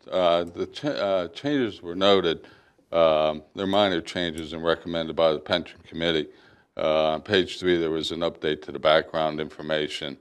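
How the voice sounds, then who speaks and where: A man serving as chief administrator, hoarse with a cough, speaking in a measured, formal way. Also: very deep voice.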